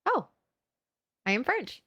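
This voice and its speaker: A woman's voice: high voice, big pitch swings, takes it slowly, bright, forceful tone, dry recording, studio quality. A woman co-hosting a livestream podcast in casual unscripted banter, with a giggly way of talking. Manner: giggly, laughs often.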